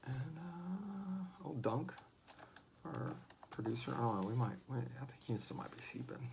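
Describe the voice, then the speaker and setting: A man's voice: medium pitch, lively intonation, unhurried, creaky, very noisy. A man hosting a casual livestream chat in a jokey, rambling way, sometimes muttering as he sets things up. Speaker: host and co-creator of a horror audio drama.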